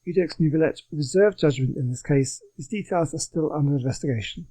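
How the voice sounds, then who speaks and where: Mellow, slightly husky male gamer. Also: on the high side, a little fast, poor audio. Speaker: video game streamer. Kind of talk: casual let's play, reading game text aloud.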